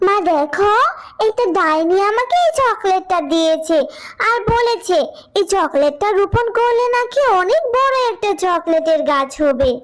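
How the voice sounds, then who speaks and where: A woman's voice: very high voice, expressive, a little slow. A woman voicing a sweet, childlike village girl in a cartoon, whiny and eager, with a pleading manner. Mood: excited. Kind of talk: cartoon voice acting.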